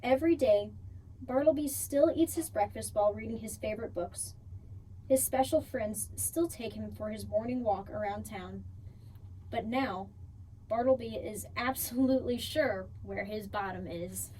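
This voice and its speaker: A woman reading a picture book aloud for children in an expressive storytelling style, drawing out key words. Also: on the high side, normal speed. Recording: distant mic, faint background noise.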